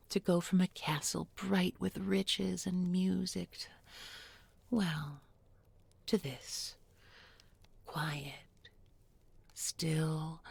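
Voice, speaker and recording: A woman's voice: lowish voice, gravelly, breathy edge, dry recording, full character voice. A woman playing a bookish village beauty turned sly, menacing captor in an intimate audio roleplay, speaking with mock tenderness and often dropping to a whisper. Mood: wistful, musing. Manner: deliberate, coaxing, mock-tender with pointed irony. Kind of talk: intimate audio roleplay spoken to one listener.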